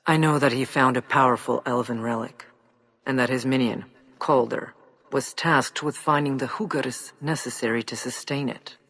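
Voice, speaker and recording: An actor voicing a proud, defiant giant lord in a video game, with a theatrical, measured and scornful delivery. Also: male voice, mid-range voice, steady tone, a bit bright. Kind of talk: dramatic video game voice acting. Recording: clear background noise.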